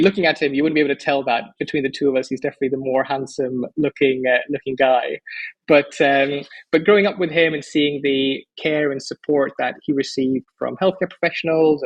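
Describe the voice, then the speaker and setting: A man's voice: mid-range voice, creaky, highs cut off. A man around forty from the Netherlands, a physician speaking as a podcast guest in an articulate, enthusiastic way. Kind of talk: podcast interview.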